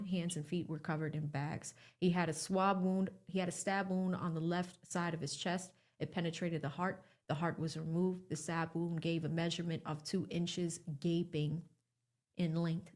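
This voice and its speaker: A woman reporting testimony by reading a trial recap aloud in a factual, clipped question-and-answer delivery. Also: fairly low pitch.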